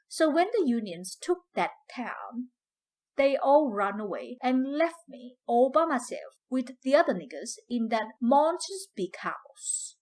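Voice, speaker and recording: A woman playing an older former slave from the American South, proud, fiery and grieving, telling her story in folksy dialect. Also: fairly high pitch. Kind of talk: dramatic first-person storytelling in dialect.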